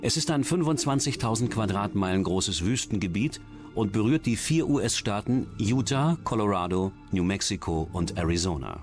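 A man's voice: on the low side, expressive, normal speed, natural voice. A man reading scripted true-crime documentary narration as the narrator. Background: background music.

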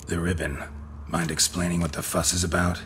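An actor playing a stoic, world-weary monster hunter in a fantasy video game, speaking in terse, deadpan, dry phrases. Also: male voice, deep voice, fairly quick, a little hiss or hum. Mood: wry.

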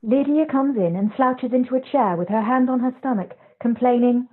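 A woman's voice: fairly high pitch, normal speed. A woman narrating stage directions for a play reading in a scripted, descriptive style. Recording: tinny, phone-like video-call audio.

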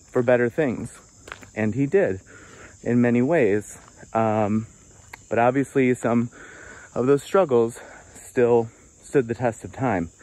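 A man's voice: medium pitch, a little mellow. A man in his thirties musing casually while walking a wooded trail, filming himself on a phone at arm's length, with many fillers and restarts. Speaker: vlogger answering viewer questions. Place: outdoors on a wooded forest trail.

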